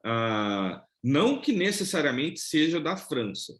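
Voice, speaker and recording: A man hosting a casual interview, asking relaxed questions and often repeating words as he goes. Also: on the high side, unhurried. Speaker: interviewer, channel host.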